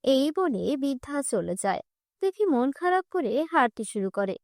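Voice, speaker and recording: A woman narrating a children's cartoon fairy tale with a high voice in clear, even storytelling. The recording is dry and close. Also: moderate pace, dry recording.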